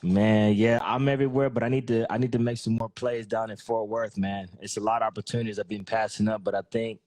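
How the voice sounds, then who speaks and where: A man's voice: fairly low pitch, little pitch movement, fast, darkish tone, dry recording. A man hosting a podcast, chatting casually with a guest and ending his lines with "man" and "bro". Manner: laid-back slangy talk, "man" and "bro" at the end of lines. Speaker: podcast host. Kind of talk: casual interview chat.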